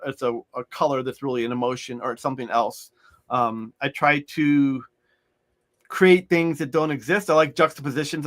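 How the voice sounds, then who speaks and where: Middle-aged male author, slightly high voice, rambling conversational guest. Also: fairly bright tone. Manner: rambling, many restarts and fillers. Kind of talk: conversational interview.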